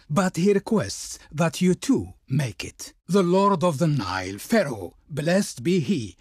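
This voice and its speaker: A male actor playing a pompous, fawning Egyptian envoy in a video game, speaking ceremoniously with reverent pauses, as if declaiming for his Pharaoh. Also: sits high, a little mellow, husky, no echo, clean studio sound, exaggerated voice. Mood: solemn, commanding. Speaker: video game diplomat character. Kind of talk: theatrical video game voice acting.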